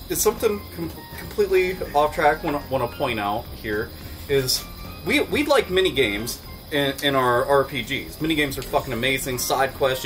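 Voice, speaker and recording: A man's voice: mid-range voice, low-quality recording. A man in his thirties hosting a casual chat among friends, swearing freely and laughing often as he talks. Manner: profane, animated, laughing often. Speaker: host. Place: indoor room with wood wall backdrop.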